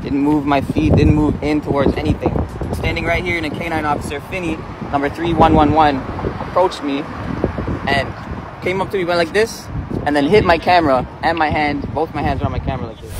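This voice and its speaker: A man calmly giving a plain, measured account to police outdoors. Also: a little high. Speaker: citizen journalist. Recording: loud outdoor traffic and wind noise.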